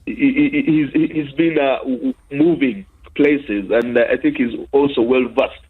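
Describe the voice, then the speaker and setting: A Ugandan-born man calling a radio phone-in, heard through a tinny telephone line. His voice is husky and mid-pitched, and he argues earnestly, padding his points with fillers. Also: unhurried. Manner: earnest, argumentative, many fillers. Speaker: caller. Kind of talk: phone-in call.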